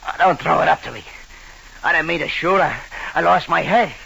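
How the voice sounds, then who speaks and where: A gruff gangland boss in an old radio crime play. His voice is bright, forceful and full of gravel. He snaps out slang with a guilty, suspicious edge, over faint vintage hiss. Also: a little high, fairly quick, heavily acted, low-quality recording. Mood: pleading, agitated. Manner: gruff, clipped gangster slang. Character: hard-boiled male gangland boss, suspicious, rattled by guilt. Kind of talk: old-time radio crime drama acting. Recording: hissy vintage radio recording.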